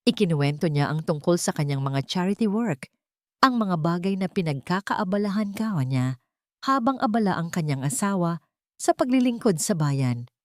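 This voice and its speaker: A woman's voice: lowish voice, animated, moderate pace, a little mellow, no room sound, clean studio sound. A woman reading a scripted dramatic romance story as its narrator.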